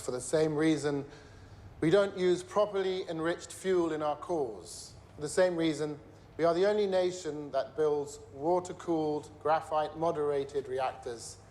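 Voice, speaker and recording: A man acting as a grave, bitter nuclear scientist testifying at a trial in a screen drama, measured and emphatic as he explains. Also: fairly high pitch, darkish tone, a little hiss or hum, lightly acted.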